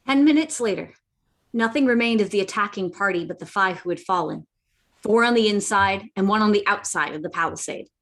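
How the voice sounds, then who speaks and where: A woman's voice: mid-range voice, brisk, a bit bright. Woman as plucky young Jim, telling his adventure. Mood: earnest storytelling. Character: earnest, plucky boy adventurer Jim Hawkins. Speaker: narrator and lead character. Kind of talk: dramatic scripted narration.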